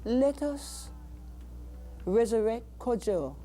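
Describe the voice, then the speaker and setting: A woman with a Jamaican accent reciting spoken-word poetry in a deliberate, dramatic way. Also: fairly high pitch, expressive, warm tone, a little hiss or hum. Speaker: poet.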